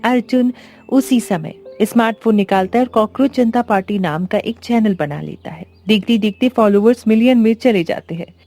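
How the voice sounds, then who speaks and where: A woman's voice: mid-range voice, normal speed, dark tone. A woman narrating a scripted moral story as its storyteller. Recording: background music under the voice.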